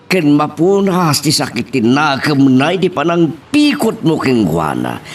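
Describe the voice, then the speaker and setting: Male actor as stern father, Ilocano accent, scolding, very animated delivery, distant noisy recording. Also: a little high, unhurried, exaggerated voice. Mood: stern, exasperated. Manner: scolding, sermonizing. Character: stern, exasperated father scolding his grown daughter. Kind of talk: Ilocano radio-style drama acting. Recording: distant mic with clear noise.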